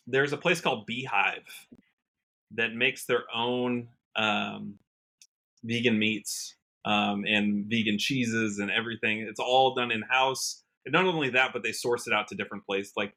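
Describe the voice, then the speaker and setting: Bright-voiced young American man chatting. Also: on the low side, steady tone, measured pace. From Washington state, United States. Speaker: podcast guest, country musician. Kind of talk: casual interview chat.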